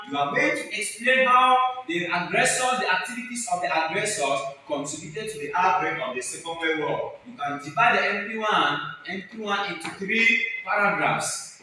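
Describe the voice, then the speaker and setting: A man's voice: a little high, pushed and bright. A man giving an exam-preparation history lecture as a teacher, in a didactic way, repeating key phrases with emphasis. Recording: very echoey room, distant mic, faint noise.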